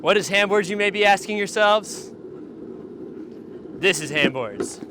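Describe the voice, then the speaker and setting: A man's voice: high-pitched, expressive, a little slow. A man in his twenties, a lifeguard, giving an upbeat, casual self-introduction outdoors. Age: 20s. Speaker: lifeguard and surfer. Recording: outdoor street noise behind.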